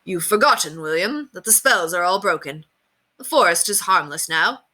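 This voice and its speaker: Woman reading as a kindly noble knight, bright-voiced. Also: on the low side, lively intonation, moderate pace. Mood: calm, kindly. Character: noble, kindly old knight, hearty and commanding. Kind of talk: storybook character reading.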